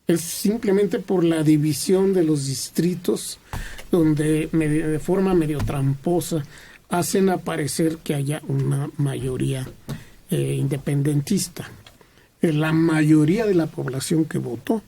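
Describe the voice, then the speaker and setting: A man's voice: on the high side, a little slow, gravelly, mellow, a little hiss or hum. A Mexican man commenting on a radio panel, hesitant with many fillers but opinionated.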